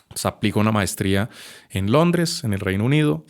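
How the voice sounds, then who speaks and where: A man, sharing his personal story as a podcast guest in a reflective, measured way with frequent hesitations. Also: lowish voice, animated. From Colombia. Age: 30s. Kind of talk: personal testimony in a podcast conversation.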